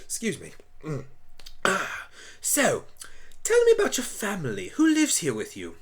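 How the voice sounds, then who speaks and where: Lady doing a kindly oddball time-traveler pony guy, theatrical musing, pitch jumping all over. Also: huge pitch swings, measured pace, breathy edge, heavily acted. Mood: polite, pleased. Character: eccentric, kindly male time-traveling alien pony doctor. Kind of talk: fan radio play acting.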